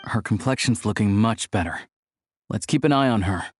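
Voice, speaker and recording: An actor playing a level-headed, earnest young man in a video game, explaining things in a measured way. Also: on the low side, husky, no echo, clean studio sound. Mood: calm.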